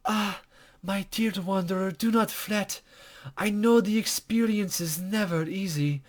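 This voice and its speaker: A man's voice: high voice, unhurried, pitched above the performer's own voice. A man voicing a mysterious, courteous lady guide in a visual novel game, with soothing, formal, flowery speech. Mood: gentle, gracious.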